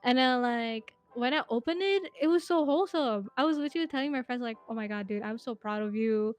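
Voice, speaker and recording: A woman's voice: on the high side, brisk, clear, resonant tone. A woman in her twenties, a podcast guest chatting casually, telling rambling stories with lots of fillers and giggles. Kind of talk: casual interview chat.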